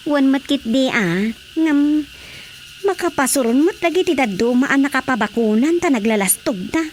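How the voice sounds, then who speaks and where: A woman playing an expressive, gossipy wife in a radio drama comedy, with an Ilocano accent and an exclaiming delivery. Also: high voice, normal speed, a little hiss or hum. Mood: animated gossip. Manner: animated, exclaiming.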